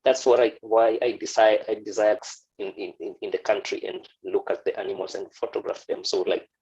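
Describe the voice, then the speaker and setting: A man from Kenya with a Kenyan accent, giving a friendly, halting presentation to students. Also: fairly low pitch, fairly even intonation, a little mellow, breathy edge, muffled recording. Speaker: guest photographer.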